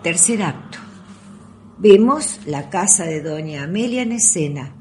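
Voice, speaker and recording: A woman's voice: fairly low pitch, lively intonation, a little slow, warm tone. A woman narrating an amateur radio play from a script. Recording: audible background noise.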